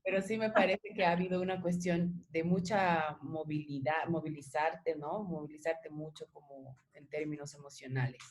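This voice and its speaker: A woman interviewer giving thoughtful conversational commentary in a measured, reflective and supportive way. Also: sits low, fairly even intonation.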